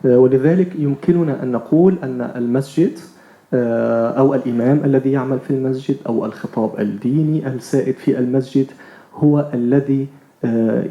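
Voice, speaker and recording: A man's voice: mid-range voice, muffled recording. A native Arabic-speaking man with an Arabic accent, giving formal remarks as a panelist. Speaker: conference panelist. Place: indoor conference panel. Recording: echoey conference room.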